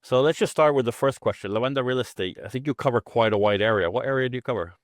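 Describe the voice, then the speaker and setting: A man hosting an interview, asking direct questions in a casual, brisk way. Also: medium pitch, dry recording, clean studio sound. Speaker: interview host.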